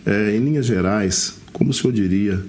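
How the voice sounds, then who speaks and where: Deep-voiced Brazilian male TV interviewer. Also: moderate pace, darkish tone, a little hiss or hum. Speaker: interviewer, TV segment host. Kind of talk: broadcast interview.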